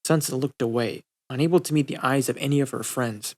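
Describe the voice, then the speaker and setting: A man's voice: medium pitch, fairly quick, darkish tone. Man, audiobook narrator, scripted fiction reading, storytelling delivery.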